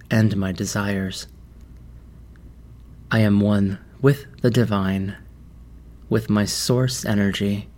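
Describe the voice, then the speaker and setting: A man leading a guided meditation in a measured, deliberate manner with long pauses. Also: fairly low pitch, darkish tone.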